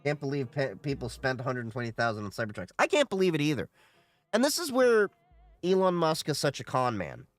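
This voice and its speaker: A man's voice: mid-range voice, big pitch swings, a little fast, faint music behind. A man hosting a casual livestream, reading chat questions aloud and giving opinionated answers.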